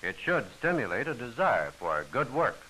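A man's voice: mid-range voice, big pitch swings, normal speed, sharp tone, slight background noise, poor audio. A man giving formal, instructional scripted narration for a training film, on an old film soundtrack.

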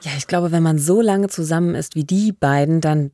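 A woman's voice: sits low, moderate pace, warm tone, no room sound, faint music behind. Woman chatting through a crime case as co-host, close mic, really dry studio. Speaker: podcast co-host. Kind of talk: conversational true-crime storytelling. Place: radio studio. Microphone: studio microphone up close with pop filter.

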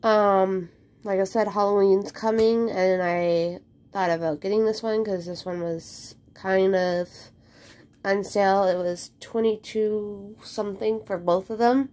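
Slow-talking woman with a head cold. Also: medium pitch. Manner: relaxed, rambling with many ums. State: slightly congested from a cold. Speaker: crafting vlogger. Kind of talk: casual unboxing chat. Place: indoor craft room at home.

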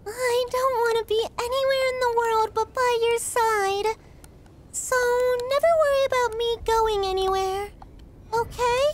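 A woman voicing a needy, affectionate, excitable young puppygirl in an ASMR roleplay, cutesy, pouty and clingy. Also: extremely high pitch, slight background noise. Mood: tender, devoted.

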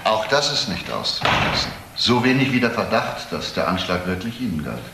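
Police inspector in a radio crime drama, lively swings in pitch, gravelly, keeps probing. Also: male voice, normal speed, echoey room, a little hiss or hum, full character voice. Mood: probing, deliberate. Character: senior police detective, probing, insistent middle-aged man.

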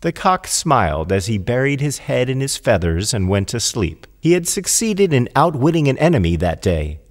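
A man's voice: medium pitch, big pitch swings, moderate pace, big-room sound. A man narrating a children's fable as a scripted storyteller.

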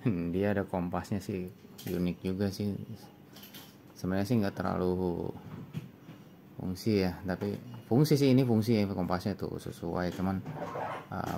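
Low-voiced man, noisy recording. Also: warm tone. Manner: informal, halting, thinking aloud. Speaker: product reviewer. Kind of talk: casual unboxing and review chat. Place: indoor room with tiled desk. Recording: clearly noisy room recording.

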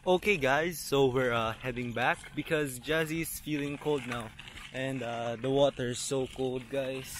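A man's voice: mid-range voice, fairly even intonation, normal speed, a little hiss or hum. A young man chatting casually to his camera as a family vlogger, outdoors at a campground. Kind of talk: casual vlog chat.

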